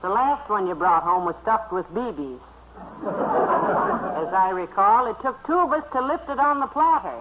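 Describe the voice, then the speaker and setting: A woman's voice: medium pitch, animated, measured pace, close to an everyday voice. A woman playing a sensible middle-aged wife in a 1950s radio sitcom, dryly and affectionately needling her husband. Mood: teasing. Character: sensible, gently skeptical, teasing wife. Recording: muffled 1950s radio recording, faint noise.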